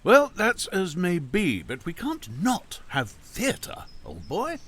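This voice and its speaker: Genial elderly uncle, audio drama, high-pitched, extremely animated intonation, avuncular, wistful, drawn-out phrasing. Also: male voice, slightly rough, heavily acted. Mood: placating. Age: older. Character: genial, wistful old uncle, a retired lawyer. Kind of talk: theatrical audio drama acting.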